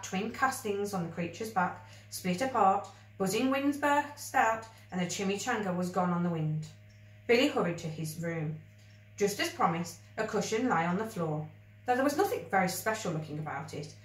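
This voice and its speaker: A woman reading a children's story aloud in an expressive, scripted storybook style. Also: mid-range voice, moderate pace. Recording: echoey room, distant mic.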